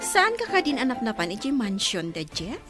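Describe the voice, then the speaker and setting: A woman's voice: a little high, wildly swooping pitch, moderate pace, over loud music. A woman acting a scheming, money-minded friend with an Ilocano accent in a radio drama. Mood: scheming urging.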